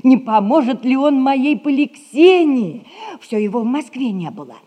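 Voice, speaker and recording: An actress playing a fussing, kindly but crafty household nanny, folksy and gossipy, in a theatrical 1960s audio play. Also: female voice, high-pitched, expressive, moderate pace, dark tone. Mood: gossipy, confiding.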